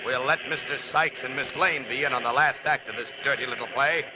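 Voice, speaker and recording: Man playing heroic superhero, confident, stern, wryly polite, mid-pitched, old radio serial, heavy noise. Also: brisk, lightly acted. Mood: stern, commanding. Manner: stern, mock-polite, commanding. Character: heroic alien superhero, confident, commanding and wry. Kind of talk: 1940s radio serial acting.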